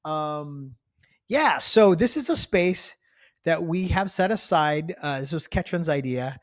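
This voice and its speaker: A man's voice: a little high, expressive, measured pace, dry recording, clean studio sound. A man co-hosting a podcast in casual, rambling banter, with a jokey, teasing manner. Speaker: podcast co-host. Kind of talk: casual banter with co-host spouse. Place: home garage studio.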